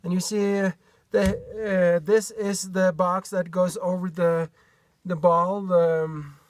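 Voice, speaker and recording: A Norwegian man with a Norwegian accent, showing a hitch step by step in a halting way, full of fillers, recorded outdoors on a camera mic. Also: high voice, little pitch movement, dry recording. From Norway. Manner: halting, searching for words, many fillers. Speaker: DIY vlogger. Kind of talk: hands-on how-to demonstration. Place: outdoors by a pickup truck bed.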